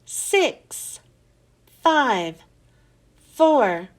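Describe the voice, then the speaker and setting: A woman's voice: high-pitched, sing-song, drawn-out words, full character voice. A woman voicing a stern, falsely nice villainous female schoolteacher, commanding and coldly polite. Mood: cold, furious.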